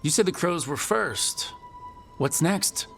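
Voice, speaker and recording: An actor playing an earnest, direct resistance hero in a fantasy video game. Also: male voice, fairly high pitch, animated, takes it slowly, vocal fry, fairly bright tone, soft background music, full character voice. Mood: earnest, resolute.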